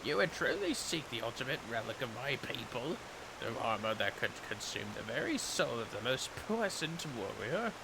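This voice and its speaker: A man voicing a solemn, honorable warrior burdened by guilt, speaking gravely in formal, archaic phrases as fantasy game dialogue. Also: mid-range voice, sing-song, moderate pace, background noise. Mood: foreboding warning. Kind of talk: dramatic read-aloud of fantasy game dialogue. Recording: prominent music behind the voice.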